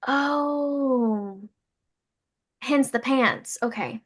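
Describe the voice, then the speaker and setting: An actress playing an eager, earnest young schoolteacher in a comedy table read, perky and animated. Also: female voice, sits high, drawn-out words, full character voice. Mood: helpful.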